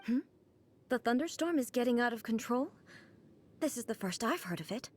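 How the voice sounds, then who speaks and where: Actress as a poised, aloof ruler, slightly high voice, measured and formal. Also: female voice, a little hiss or hum, natural voice. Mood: calm. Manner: calm, measured, formal speech. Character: composed regal woman ruler, aloof and formal. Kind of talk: video game cutscene dialogue.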